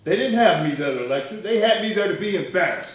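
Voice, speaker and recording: The fiery, biting movement leader you'd hear from the back row of a cavernous theatre, his high, sweeping oratory ringing off the walls. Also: lively intonation, fast. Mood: challenging. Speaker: stage actor. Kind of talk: one-act stage play dialogue. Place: live theatre performance, recorded from far off. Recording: distant, very echoey stage hall.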